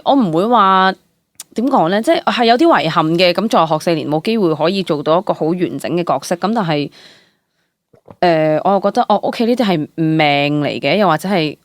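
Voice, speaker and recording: Woman, late twenties, Hong Kong Cantonese accent, lower-pitched, dry recording, casual interview chat, expressive, English words mixed in. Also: no room sound. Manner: animated storytelling with exclamations, English words mixed in. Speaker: guest, stage actress.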